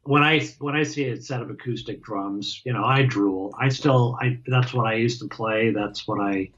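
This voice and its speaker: A man talking casually as an interview guest, halting and restarting often as he explains how he plays drums. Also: medium pitch, fairly bright tone, lo-fi sound. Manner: halting, many restarts and fillers. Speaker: guest drummer.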